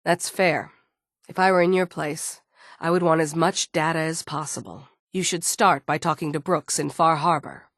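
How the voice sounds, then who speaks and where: A woman's voice: low voice, normal speed, a bit bright, no echo, studio quality. A woman voicing a stern, guarded android security chief in a video game, firm and businesslike. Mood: serious, businesslike. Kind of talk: scripted game dialogue.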